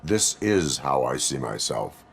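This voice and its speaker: An extremely low-voiced cyborg policeman, resurrected in a metal body. He speaks in formal, clipped, machine-like sentences with stoic restraint, as a video game character. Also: big pitch swings, a little slow, gravelly, heavily acted. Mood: flat, stoic.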